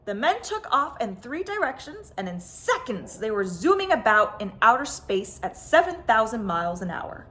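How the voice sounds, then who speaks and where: A woman reading a children's picture book aloud as a storyteller, with dramatic, expressive phrasing. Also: a little high, normal speed, few pauses. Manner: dramatic, stressed sound words and phrases. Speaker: storyteller, host of a story time.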